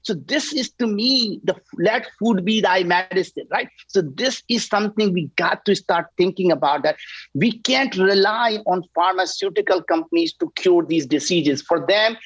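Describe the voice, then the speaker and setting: A man in his sixties, a company founder guest on a talk show, pitching his product with energy and emphasis, often tagging "right?". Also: normal speed, a bit bright, husky, no echo, lo-fi sound. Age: 60s.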